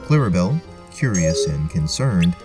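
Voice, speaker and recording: A man's voice: deep voice, sing-song, normal speed, recorded from a distance, music in the background. A man narrating a scripted tabletop fantasy story as the game master.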